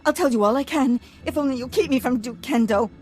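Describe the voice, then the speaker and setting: A woman's voice: high-pitched, quick pace, darkish tone, soft background music, natural voice. An actress playing a refined, proud but anxious noblewoman in a video game, speaking formally and earnestly. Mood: frightened, pleading. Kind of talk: video game character acting.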